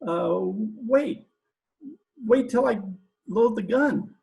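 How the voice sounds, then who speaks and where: A man's voice: high voice, lively intonation, extremely slow, gravelly, a little mellow, heavily acted, rough recording. A man playing a dim, lovestruck, trigger-happy gangster with slangy, uneducated talk in a 1940s-style radio comedy. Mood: eager, murderous. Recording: home video-call recording.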